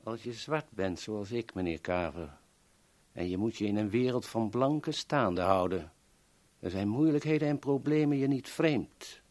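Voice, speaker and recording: An actor playing a courteous, coolly menacing villain in a 1970s Dutch radio drama, speaking formally and politely. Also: male voice, fairly low pitch, lively intonation, unhurried, darkish tone. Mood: cold menace.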